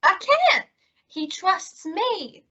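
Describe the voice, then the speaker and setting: A woman playing a sweet, dim-witted, soft-hearted young woman in an old-time radio comedy, naive and earnest. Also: very high voice, expressive, very slow, exaggerated voice. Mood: pleading. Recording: dull lo-fi audio with clear noise.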